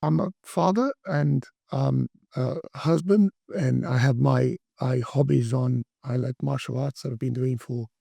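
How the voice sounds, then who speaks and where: A middle-aged man from Brazil, speaking as an interview guest, talking in a halting way with many restarts and fillers. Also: mid-range voice, vocal fry, warm tone, no echo. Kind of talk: interview conversation.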